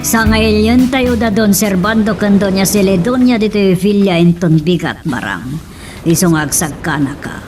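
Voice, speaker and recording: A woman's voice: mid-range voice, a little slow, music in the background, close to an everyday voice. An actress playing an earnest, concerned village woman with a Filipino accent in a radio drama.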